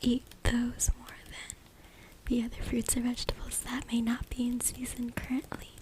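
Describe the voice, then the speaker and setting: A woman reading aloud in a soft, hushed, deliberate ASMR whisper, close to a USB microphone. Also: a little high, completely flat delivery. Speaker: ASMR creator reading from a book. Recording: noisy close USB mic with clicking. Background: noise, tapping and clicking sounds.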